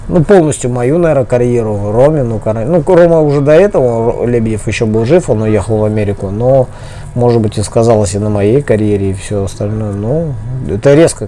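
A man in his forties answering in an interview, halting and breaking off his phrases. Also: lowish voice, warm tone, slight background noise. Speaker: boxer interviewed about his late coach. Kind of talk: unscripted interview.

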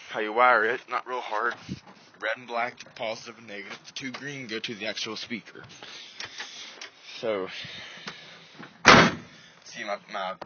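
A plain-spoken man giving a casual hands-on walkthrough as an informal vlogger, recorded on a handheld camera around a truck. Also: fairly low pitch. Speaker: vlogger demonstrating an install. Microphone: handheld phone or small camera. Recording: muffled, noisy handheld camera audio.

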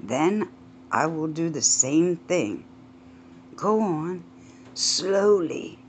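Narrator doing a steady husband, slow and soothing, low-key. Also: female voice, slight background noise, exaggerated voice. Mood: calm. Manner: calm, soothing. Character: calm older husband keeping his wife steady.

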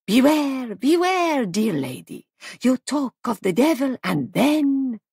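A woman voicing a sly elderly foreign man in a storybook, gleefully courteous, with theatrical, insinuating, drawn-out phrasing. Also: high-pitched, big pitch swings, creaky, darkish tone, breathy edge, no room sound, studio quality, pitched above the performer's own voice, heavily acted. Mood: sly amusement.

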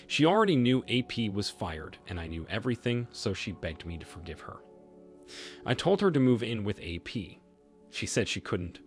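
Male narrator, low voice, lively pitch, scripted story read, music behind. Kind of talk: scripted narration of reader stories. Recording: voice-over with soft music bed.

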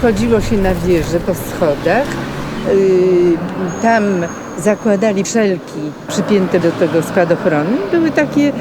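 A woman's voice: medium pitch, animated, unhurried. A woman in her seventies sharing memories in an interview, recorded outdoors on a city street. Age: 70s. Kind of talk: reminiscing in an interview. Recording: loud traffic and street noise.

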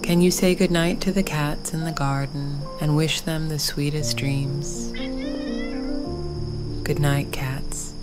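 A woman narrating a calming bedtime story for toddlers in a soothing, gentle manner, with long pauses. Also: low voice, loud music behind the voice.

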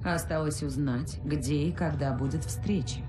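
A woman voicing a brisk low-voiced female operative. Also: music in the background, lightly acted. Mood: commanding. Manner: curt commanding orders. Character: female special forces operative, commanding, brisk. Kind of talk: dubbed game dialogue.

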